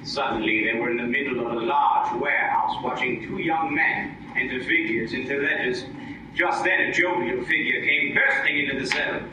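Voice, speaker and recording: A man's voice: mid-range voice, steady tone, normal speed, background noise, close to an everyday voice. A man playing a grandiose narrator and announcer in dramatic old-time radio style. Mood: grand storytelling. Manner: theatrical, grandiose. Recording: echoey live stage, distant microphone, music.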